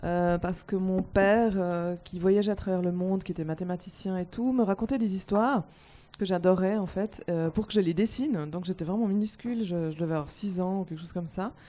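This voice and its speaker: Woman on a panel, warm voice, telling a childhood story, stumbles a bit. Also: lowish voice, fairly quick. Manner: lively storytelling, repeats words. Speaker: panel guest. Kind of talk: personal anecdote.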